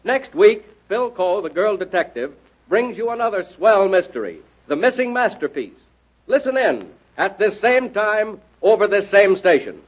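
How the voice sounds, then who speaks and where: A man announcing in 1930s radio style, delivering an emphatic, exhortative promotional pitch. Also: sing-song, normal speed. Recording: muffled vintage radio broadcast recording.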